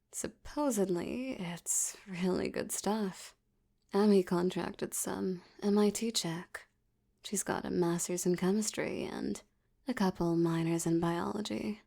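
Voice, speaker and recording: A woman playing a seductive, menacing mafia boss in an ASMR roleplay, teasing and often slipping into a breathy whisper. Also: medium pitch, warm tone. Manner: teasing, slow, velvety, threatening. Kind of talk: ASMR roleplay audio drama.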